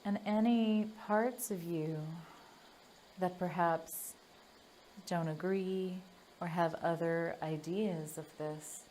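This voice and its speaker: A woman leading a guided meditation in a gentle, soothing manner, leaving long pauses between phrases. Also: on the low side, slight background noise.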